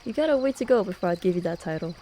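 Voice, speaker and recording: A woman's voice: medium pitch, expressive, rapid-fire, mellow, slight background noise, natural voice. A young woman acting a playful, teasing student friend in a casual, intimate ASMR roleplay. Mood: amused, teasing. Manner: casual, teasing, with sighs and chuckles. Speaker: voice actor. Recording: running and trickling water behind voice. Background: hot spring water ambience.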